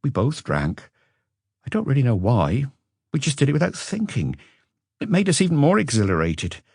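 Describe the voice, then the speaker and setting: A man voicing an educated Frenchman who looks back on a passionate marriage with regret, in a measured, confessional audiobook reading. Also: medium pitch, big pitch swings, creaky, darkish tone, breathy edge, no echo, studio quality, pitched above the performer's own voice, full character voice. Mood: candid, resigned. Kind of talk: audiobook character dialogue.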